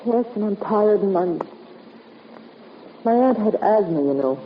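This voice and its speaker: A woman's voice: mid-range voice, expressive, a little slow, long reverb tail, lightly acted. An actress playing a frightened, defensive young woman in an agitated, insistent 1950s radio drama style. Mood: weary. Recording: noisy, muffled vintage radio recording.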